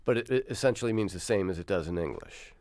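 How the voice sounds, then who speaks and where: A man's voice: low voice, expressive, quick pace, darkish tone, dry recording. An older man hosting a radio interview in a studio, asking curious questions. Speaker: radio host. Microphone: studio mic with headphones.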